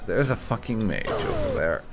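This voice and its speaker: A man's voice: lowish voice, huge pitch swings, slow pace, gravelly, loud music behind the voice. A man giving casual, sweary and wry commentary as the host of a gaming video.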